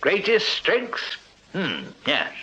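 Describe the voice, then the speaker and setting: An actor playing an eager, blustering retired Victorian army major in a radio drama, with a hearty upper-class English delivery. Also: male voice, high voice, wildly swooping pitch, takes it slowly, bright, forceful tone, husky, exaggerated voice. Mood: jovial, keen. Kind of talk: radio period drama acting. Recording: slightly dull radio drama recording.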